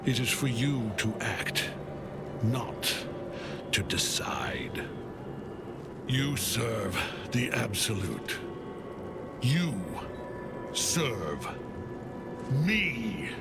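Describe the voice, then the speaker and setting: An actor playing an older, commanding villain general in a video game, wrathful and domineering, with an imperious theatrical delivery. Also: male voice, medium pitch, sing-song, drawn-out words, gravelly, pauses often, heavily acted. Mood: contemptuous fury. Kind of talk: dramatic video game acting. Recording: loud music behind the voice. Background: loud dramatic game music.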